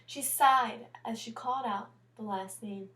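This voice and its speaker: A woman teacher reading a picture book aloud, pausing to explain and comment on the pictures as she goes. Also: on the high side, a bit bright. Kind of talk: storybook read-aloud with chatty asides.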